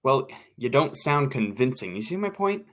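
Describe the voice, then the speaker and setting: A man's voice: medium pitch, sing-song, normal speed, close to an everyday voice. Man as a hesitant, fussy radio director. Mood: businesslike. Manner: hesitant, groping for words, repeating himself. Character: fussy, tactful male radio director coaching actors. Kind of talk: naturalistic old-time radio drama acting.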